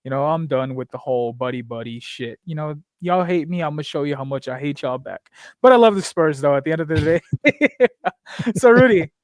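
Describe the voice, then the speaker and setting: A young man from near the Texas border rambles through a casual podcast chat, swearing and cracking jokes as he goes. His voice sits a bit higher than most men's. It sounds dry and close, with almost no room echo. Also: lively intonation, brisk. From Texas, near the Mexican border. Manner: profane, joking, rambling storyteller.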